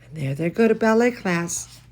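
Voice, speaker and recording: A woman's voice: fairly low pitch, expressive, moderate pace, a little mellow. A woman hosting a children's bedtime story livestream, gushing and exclaiming as she chats to young viewers between bits of reading. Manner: gushing, exclamatory, addresses children and pet directly. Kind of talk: storybook read-aloud mixed with chatty asides to young viewers.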